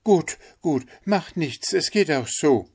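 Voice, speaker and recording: A man's voice: on the high side, expressive, slow pace, darkish tone, breathy edge, pitched above the performer's own voice, heavily acted. A man voicing Hans, a humble, good-natured little man in a read-aloud storybook, eager and polite. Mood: obliging.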